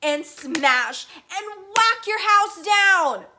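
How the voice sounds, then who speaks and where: A woman voicing a big bad storybook robot, bossy and threatening, with drawn-out, chanting calls. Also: very high-pitched, lively intonation, background noise, pitched above the performer's own voice, heavily acted. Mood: menacing.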